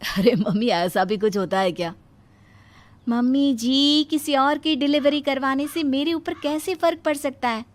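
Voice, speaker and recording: A woman's voice: high-pitched, a little slow. A woman voicing a headstrong, self-assured young nurse and daughter-in-law, confident and dismissive, in a cartoon story. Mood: defiant. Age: young adult. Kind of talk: cartoon moral story voice acting.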